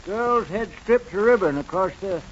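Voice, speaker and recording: An oblivious old-time radio sitcom neighbor. His voice is high, and he rambles on in a drawn-out way. The 1940s recording is dull with faint noise. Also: animated. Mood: rambling. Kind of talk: 1940s radio comedy acting. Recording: muffled, faintly noisy 1940s radio broadcast.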